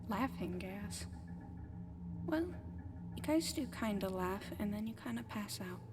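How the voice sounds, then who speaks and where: A woman playing a friendly, apologetic alien caretaker in an ASMR roleplay, speaking gently and haltingly and often slipping into whispers. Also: medium pitch, expressive, noisy background, natural voice. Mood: explaining, apologetic. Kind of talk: soft-spoken ASMR roleplay addressed to the listener.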